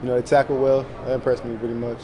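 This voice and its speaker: A young man, a college football player, answering reporters in a press room with short, low-key replies full of fillers. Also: flat delivery, fast talker, crowd noise. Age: young adult. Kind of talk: post-game press conference answers. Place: press room in front of a sponsor backdrop. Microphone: table mics in front of him.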